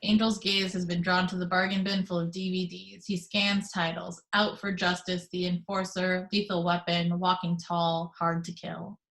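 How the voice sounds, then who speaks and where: A woman reading the action descriptions aloud in steady, measured narration for an amateur table read over a video call. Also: on the low side, poor audio. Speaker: reader of stage directions.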